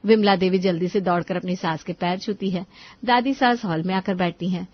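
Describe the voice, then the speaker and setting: A woman's voice: fairly low pitch, steady tone, a little fast, dry recording, highs cut off. A woman reading scripted narration for a cartoon moral story.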